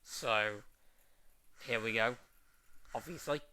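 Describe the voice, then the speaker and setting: Slow, bright-voiced man reviewing. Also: mid-range voice. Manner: unhurried, drawn-out opinions with trailing fillers. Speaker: wrestling show reviewer. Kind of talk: casual video review.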